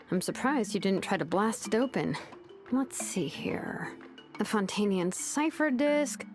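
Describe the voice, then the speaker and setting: Young game tinkerer, wry and casual, highish voice that moves around a lot, faint music behind. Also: female voice. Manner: wry, casual, hesitant asides. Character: laid-back young female tinkerer, wry and expert. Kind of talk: video game voice acting.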